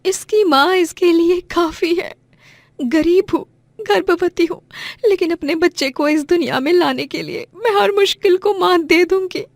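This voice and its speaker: A woman's voice: very high-pitched, measured pace, no echo, voice pushed up. A woman voicing a wronged pregnant young wife in a moral story, emotional and determined, with dramatic delivery. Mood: defiant resolve.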